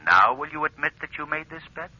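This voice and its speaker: Menacing crime fighter, 1940s radio mystery, very sharp forceful voice, animated pitch, dull old recording. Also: male voice, brisk, full character voice. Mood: stern, accusing.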